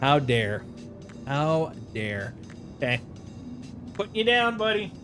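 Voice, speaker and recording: A man's voice: fairly high pitch, slow pace, over music. A game streamer from Wisconsin, a man with a played-up Upper Midwest accent and a slightly nasal voice, chatting casually and joking often with folksy exclamations. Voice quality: a little nasal. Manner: joking and animated, laughs often, slips into exaggerated folksy exclamations. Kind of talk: casual live-stream chat.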